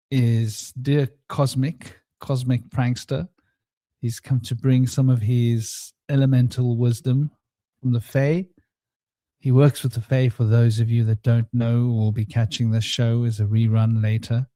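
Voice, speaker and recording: A man hosting a casual spiritual round-table chat, rambling and relaxed, with a somewhat droning voice and many fillers. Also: mid-range voice, a little slow, dry recording. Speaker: host. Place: home studio.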